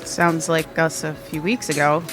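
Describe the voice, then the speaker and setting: Someone playing a chill young friend, teasing, mid voice, audio drama with soft music behind. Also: medium pitch, level tone, measured pace, lightly acted. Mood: amused. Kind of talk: conversational audio drama acting.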